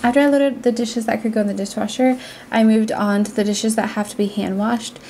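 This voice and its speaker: A woman's voice: on the high side, steady tone, a little fast, over music. A young adult woman with an American accent, narrating a cleaning vlog in a casual, conversational, explanatory way. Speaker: cleaning vlogger.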